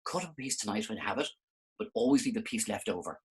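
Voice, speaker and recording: A man voicing a kindly old man in a children's story, soft and gentle. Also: fairly low pitch, animated, fast, husky, voice pushed down.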